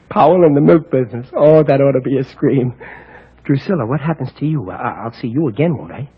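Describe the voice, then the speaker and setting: A husky, brash New milkman, tough-talking but soft-hearted. It is old-time radio acting, recorded dry with a dull vintage sound. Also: mid-range voice, expressive, normal speed, no room sound. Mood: wistful. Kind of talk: 1940s radio comedy-drama acting.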